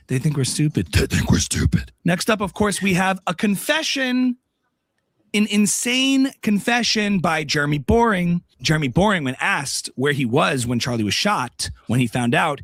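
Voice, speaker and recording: Male actor as female conspiracy commentator, raised pitch, lively melodic delivery, smug, suspicious, insistent repetition, satirical podcast. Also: dry recording, studio quality. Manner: repeats phrases insistently for dramatic emphasis.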